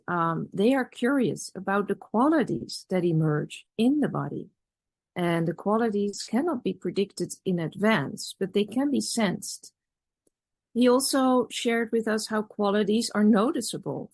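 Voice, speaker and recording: A woman's voice: medium pitch, a little mellow, no echo. Reflective woman, Dutch native speaker. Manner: measured, reflective, with restarts and pauses. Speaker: conference speaker. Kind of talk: reflective academic talk.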